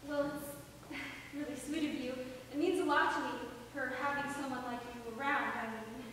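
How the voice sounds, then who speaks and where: A woman's voice: sits high, steady tone, normal speed, a bit bright. A woman on a school stage playing an exasperated, easily embarrassed young granddaughter with wry, incredulous delivery. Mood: tender. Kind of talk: high school stage comedy acting. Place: indoor school theater stage, mic far off. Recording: very echoey, distant, noisy stage recording.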